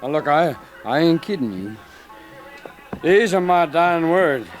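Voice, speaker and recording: An actor playing a hot-headed, boastful cowboy with a drawling rural Western American accent in an old-time radio Western. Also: male voice, fairly high pitch, lively intonation, a little slow. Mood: dying, mournful. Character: blustering, hot-headed Old West cowboy, theatrically self-pitying. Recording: old radio drama with background music.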